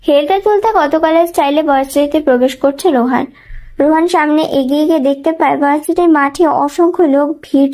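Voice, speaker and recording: A woman's voice: sits high, darkish tone. A woman reading scripted narration of a dramatic thriller story as a storyteller.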